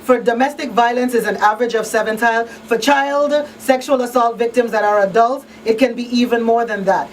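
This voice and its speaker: A woman's voice: a little high, brisk, hardly any pauses. A woman hosting a live call-in talk show, speaking emphatically and conversationally, often checking that listeners follow her. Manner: emphatic, conversational, checks in with "you know what I mean". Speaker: talk show host and crisis counselor. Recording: distant mic, faint background noise.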